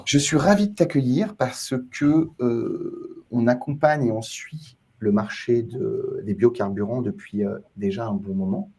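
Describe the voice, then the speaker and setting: A man's voice: medium pitch, unhurried, hardly any pauses, darkish tone, slightly rough. A man hosting a podcast at a trade show, welcoming a guest and posing questions with a friendly promotional patter and some fillers. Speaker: podcast host.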